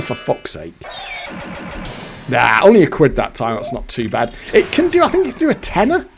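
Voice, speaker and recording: A man giving casual, exasperated commentary while playing a fruit machine, swearing now and then. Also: on the high side. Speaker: slot machine video host.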